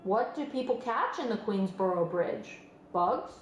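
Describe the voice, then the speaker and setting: A woman's voice: mid-range voice, a little slow, highs cut off, lightly acted. A woman voicing a naive, eager, boastful young pig in a children's storybook read-aloud. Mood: deflated, curious. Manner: earnest and excitable. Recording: roomy sound, music behind.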